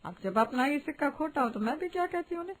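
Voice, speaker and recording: A woman's voice: high-pitched, animated, fast. A woman voicing a prim, disapproving married woman who gossips and laments dramatically in a Hindi moral-story cartoon. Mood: aggrieved.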